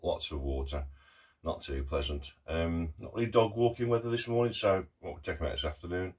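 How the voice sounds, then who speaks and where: A middle-aged man with a croaky voice from a cough, talking haltingly and earnestly in a casual video diary. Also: deep voice. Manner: halting, many ums and pauses, earnest. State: hoarse with a cough. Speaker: artist vlogger. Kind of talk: casual talk to camera.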